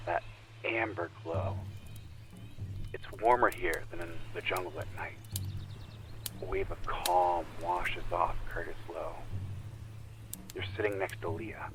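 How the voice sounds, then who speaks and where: An actor as a slow, deep-voiced spiritual man. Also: fairly even intonation, fairly bright tone, soft background music, exaggerated voice. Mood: calm, hypnotic. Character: earnest spiritual friend leading a guided meditation. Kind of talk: naturalistic audio drama acting.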